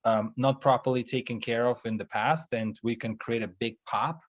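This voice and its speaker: A young man, co-founder of an investment firm, presenting in a business webinar and speaking haltingly with frequent ums and restarts. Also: mid-range voice, steady tone, dull audio. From Russia. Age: young adult. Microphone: video call.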